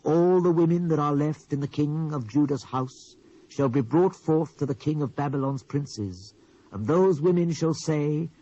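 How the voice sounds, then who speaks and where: A man's voice: on the high side, darkish tone. Muffled cassette recording, British man as grave male prophet, insistent, emphatic, oratorical, scripture drama. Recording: dull lo-fi old cassette transfer.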